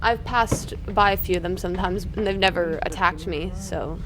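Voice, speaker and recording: A woman's voice: lowish voice, moderate pace, noisy background. A woman playing a tabletop game, speaking in casual role-play chat.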